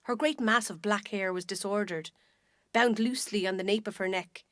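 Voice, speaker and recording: A woman with an Irish accent narrating a literary short story in a measured, dramatic way. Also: mid-range voice, fairly bright tone, no echo, studio quality.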